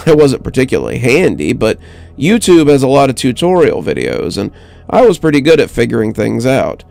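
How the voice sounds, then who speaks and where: A man reading a scripted first-person horror story in a measured, dramatic way with deliberate pauses. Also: medium pitch, wildly swooping pitch, gravelly, darkish tone, no room sound. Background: music under the voice.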